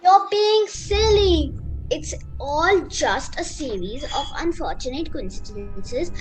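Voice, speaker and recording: A woman's voice: sits high, expressive, slow pace, faint music behind, poor audio. A female performer playing a bossy, sensible young girl in an amateur radio play, scolding and matter-of-fact. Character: bossy, sensible young girl, impatient older-sister type.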